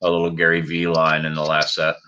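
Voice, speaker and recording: An American man in his forties from the upper Midwest, chatting casually and haltingly as host of a livestream. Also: rumbling low, monotone, sharp tone, smooth. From Minnesota and Wisconsin, US. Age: 40s. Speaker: fishing channel host. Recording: dull, lo-fi stream audio.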